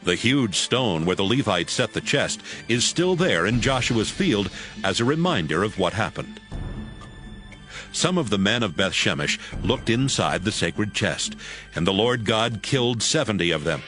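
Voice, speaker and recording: A man's voice: lowish voice, sing-song, unhurried, a bit bright, soft background music. A man narrating a dramatized audio Bible as scripted storytelling.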